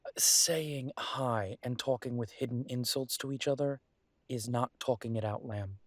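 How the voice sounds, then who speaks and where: A man playing a caring, weary werewolf detective boyfriend in an intimate roleplay audio drama, speaking in an earnest, gently coaxing, conversational way. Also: mid-range voice, moderate pace, no room sound, natural voice. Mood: earnest, exasperated.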